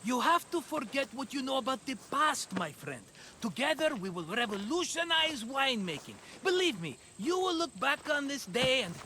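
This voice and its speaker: A man's voice: extremely high pitch, big pitch swings, normal speed, bright, forceful tone, a little hiss or hum, exaggerated voice. An actor playing a jovial, scheming merchant in a video game cutscene, with a theatrical, wheedling salesman's manner. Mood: grandly optimistic. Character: jovial, scheming male merchant and hapless vineyard owner.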